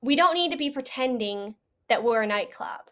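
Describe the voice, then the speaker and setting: High, bright young woman. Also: expressive, normal speed. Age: young adult. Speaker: interview guest. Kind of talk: casual interview talk.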